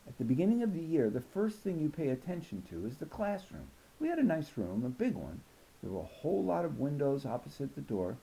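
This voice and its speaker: A man reading a children's novel aloud in an expressive storytelling style. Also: medium pitch, creaky, low-quality recording. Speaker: audiobook reader.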